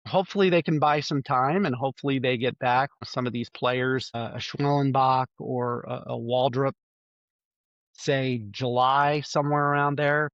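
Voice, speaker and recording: A man's voice: medium pitch, steady tone, normal speed, a bit bright, dry recording, highs cut off. Stuffy-nosed man talking sports stats. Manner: reels off stats with frequent ums and uhs. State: stuffy from a cold. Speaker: podcast host. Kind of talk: solo sports preview podcast.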